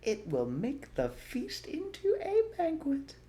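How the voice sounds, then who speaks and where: A man's voice: very high voice, wildly swooping pitch, slow pace, voice pushed up, exaggerated voice. Man doing a fatherly storybook fox. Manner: hushed, kindly, relishing. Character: warm fatherly male fox leader.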